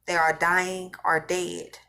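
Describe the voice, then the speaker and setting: A woman's voice: on the low side, level tone, slow, gravelly, sharp tone. Woman losing her voice, rambling vlog talk. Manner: rambling, repeats and restarts phrases. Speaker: vlogger recounting religious visions. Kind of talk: casual spoken monologue to viewers.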